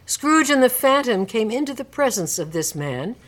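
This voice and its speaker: A woman narrating a classic story in a live dramatic reading, with measured, theatrical phrasing. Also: a little high, big pitch swings, a bit bright.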